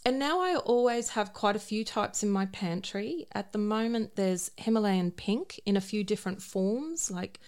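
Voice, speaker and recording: A woman's voice: medium pitch, expressive, normal speed, hardly any pauses, a little mellow. A middle-aged woman co-hosting a podcast in a casual, conversational style. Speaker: podcast co-host.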